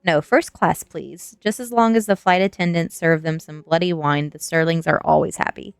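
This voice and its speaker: A woman's voice: low-pitched, moderate pace, vocal fry, clear, resonant tone, dry recording, clean studio sound, faint music behind. A woman voicing a bony, creepy old European manservant in an audiobook, formal and courtly, with a thick accent. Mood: droll, courtly.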